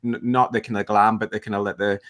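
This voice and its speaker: A Scottish man in his fifties chatting as an interview guest, halting and reflective, with a self-deprecating manner. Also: on the low side, flat delivery, fairly bright tone. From west coast of Scotland. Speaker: guest, entrepreneur and coach. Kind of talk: casual interview chat.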